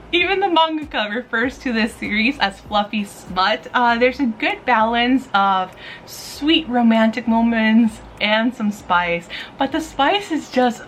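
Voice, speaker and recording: Girl doing a chatty review vlog, kinda high voice, super gushy n giggly, some noise behind her. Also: fairly bright tone, low-quality recording. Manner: gushing, giggly, playful, informal with mild swearing. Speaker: host of a book review vlog. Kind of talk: casual chatty review to camera.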